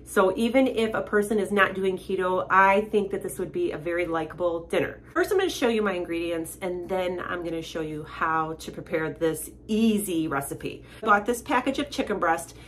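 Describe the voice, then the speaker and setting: A woman's voice: fairly low pitch, normal speed, hardly any pauses, a little hiss or hum. A woman with a cold and a stuffy, nasal voice, from the United States, chatting casually as she walks through a home cooking video and explains prices step by step. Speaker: keto cooking channel host.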